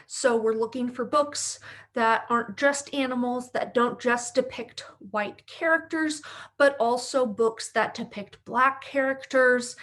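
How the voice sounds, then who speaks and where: A woman hosting as a speech therapist, speaking in a clear educational presenting style. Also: fairly high pitch, unhurried, fairly bright tone. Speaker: speech-language pathologist, host. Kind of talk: children's read-aloud and educational explanation.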